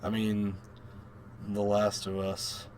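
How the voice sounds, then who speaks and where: A man's voice: lowish voice, completely flat delivery, slow pace, a little mellow, slight background noise. A man co-hosting a casual podcast chat about games and films, speaking in an opinionated way and repeating phrases for emphasis. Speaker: podcast co-host.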